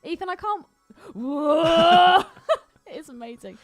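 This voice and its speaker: A woman's voice: extremely high pitch, expressive, slow pace, faint music behind. A woman co-hosting a podcast in casual comic banter, sweary, teasing and giggly. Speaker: podcast co-host.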